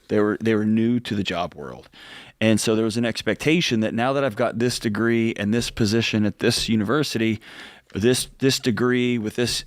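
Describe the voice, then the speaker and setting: A man's voice: on the low side, no room sound, studio quality. A man in his forties co-hosting a radio talk show, talking earnestly and emphatically in casual chat. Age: 40s. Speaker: co-host.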